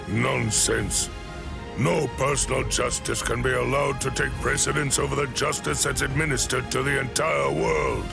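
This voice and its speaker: A man voicing a stern, imposing old sword master in an anime game, with commanding, formal speech and a gravelly, creaky voice. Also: medium pitch, fairly even intonation, moderate pace. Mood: stern, impatient. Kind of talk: dramatic anime game voice acting. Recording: orchestral game music behind the voice.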